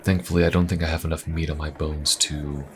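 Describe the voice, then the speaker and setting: A wry, weary inquisitor adventurer, acted by a man with an extremely low voice. He talks casually and dryly, musing with hesitant pauses, as if chatting to one listener in a fantasy audio roleplay. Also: level tone. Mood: curious, wry.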